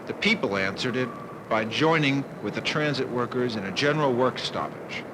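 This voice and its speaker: A man's voice: mid-range voice, expressive, moderate pace. An American man narrating a political documentary. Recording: noisy old newsreel sound.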